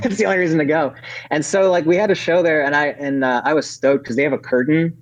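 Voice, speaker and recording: A man's voice: a little high, steady tone, fast talker. A man in his thirties, originally from Ukraine, chatting casually as a podcast guest, rambling with many fillers and restarts. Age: 30s. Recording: dull lo-fi audio.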